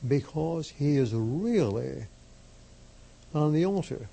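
Slow gravelly priest guy, dark husky voice, slovak first language, hammers and repeats his points. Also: on the high side, expressive. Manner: emphatic, measured, repeats key phrases. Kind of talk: slow deliberate sermon.

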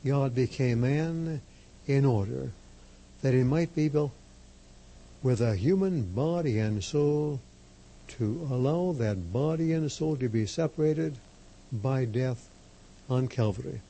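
Slow, gravelly-voiced priest preaching. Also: male voice, medium pitch, mellow. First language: Slovak. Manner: emphatic, measured, repeats key phrases. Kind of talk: slow deliberate sermon.

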